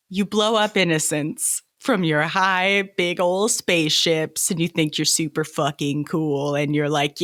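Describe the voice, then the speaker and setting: A woman chats casually as part of a podcast cast. She takes her time, speaking very slowly in a somewhat low voice with an irreverent edge. The sound is close and dry. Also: fairly bright tone, dry recording. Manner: irreverent, freely swearing. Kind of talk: casual chat.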